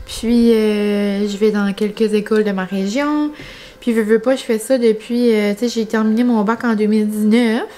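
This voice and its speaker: A woman's voice: fairly high pitch, dark tone, slight background noise. A young adult woman from New Brunswick with a northern Acadian French accent, chatting casually to her viewers in a rambling, colloquial way. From New Brunswick, Canada. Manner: rambling, colloquial regional speech with filler words. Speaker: vlogger.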